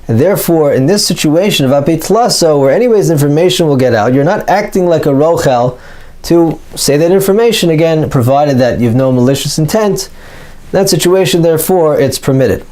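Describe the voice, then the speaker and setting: A man's voice: medium pitch, a little mellow. Male Talmud teacher giving an explanatory lecture. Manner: poses rhetorical questions; mixes Hebrew and Aramaic terms into English. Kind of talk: explanatory religious lecture working through source texts.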